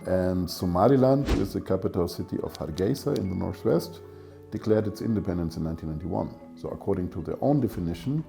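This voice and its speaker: A man giving a matter-of-fact explanation in an interview, with a gravelly voice. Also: deep voice, animated, fast talker, warm tone, soft background music. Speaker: expert.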